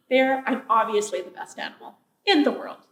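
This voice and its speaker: A woman's voice: fairly high pitch, animated, measured pace, a bit bright. A woman voicing a vain, boastful male raven in a storybook tale, gushing and dramatically self-admiring. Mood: smug, preening. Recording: echoey room, distant mic.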